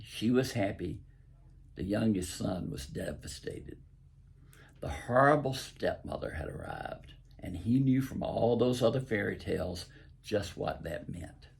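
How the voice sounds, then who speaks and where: An older man from the American South with a Southern accent reads a story aloud. His voice is very husky and rough, with a gravelly creak and a lowish pitch. The delivery is folksy and deliberate, like a host sharing a fairy tale. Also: a little mellow. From southern United States. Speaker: host and author reading own stories. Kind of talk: storytelling, reading aloud from own book.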